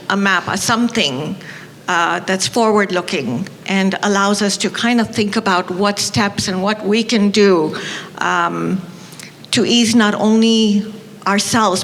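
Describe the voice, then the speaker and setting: An older woman from Tanzania, a moderator, speaking unscripted with many pauses and fillers. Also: mid-range voice. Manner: warm-hearted, hesitant with fillers. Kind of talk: unscripted opening remarks. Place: auditorium. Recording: reverberant auditorium, faint noise.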